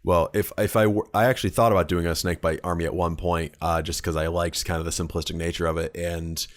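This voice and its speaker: A man's voice: low voice, level tone, fast. A man co-hosting a hobby podcast, chatting informally in a blunt, sweary way. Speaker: podcast co-host. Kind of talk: casual hobby podcast chat.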